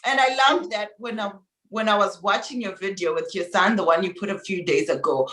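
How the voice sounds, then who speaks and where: A woman's voice: mid-range voice, a little fast, fairly bright tone. Enthusiastic Zimbabwean woman host, echoey. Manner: enthusiastic, effusive. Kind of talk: casual interview chat. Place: Australia. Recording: echoey room.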